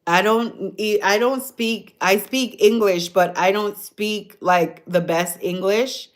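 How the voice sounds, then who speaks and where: Jamaican lady chatting on her livestream, slow and easy, reading comments. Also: mid-range voice, steady tone. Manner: chatty, answers comments, laughs often. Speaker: livestream host. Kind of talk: casual live-stream chat with viewers.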